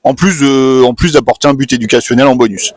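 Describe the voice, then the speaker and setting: A man who writes books, explaining his work briskly in a casual interview. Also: medium pitch. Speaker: author. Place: convention hall.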